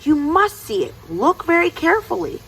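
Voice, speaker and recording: A woman's voice: high voice, big pitch swings, moderate pace, a little hiss or hum, voice pushed up, low-quality recording. A woman voicing Anansi's part in a children's storybook, a sly male trickster with a coaxing, insistent way of talking. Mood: impatient, angry. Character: sly lazy trickster spider Anansi, eager and pushy, male.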